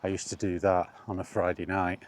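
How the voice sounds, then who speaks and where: A man's voice: low voice, little pitch movement, fairly quick. A middle-aged British man casually rambling through personal memories, as in an informal vlog. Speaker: vlogger.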